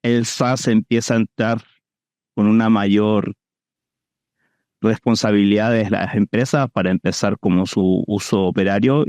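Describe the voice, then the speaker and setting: Chilean guy being interviewed, lowish mellow voice, explaining stuff conversationally. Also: no echo, clean studio sound. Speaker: interview guest, venture capital investor.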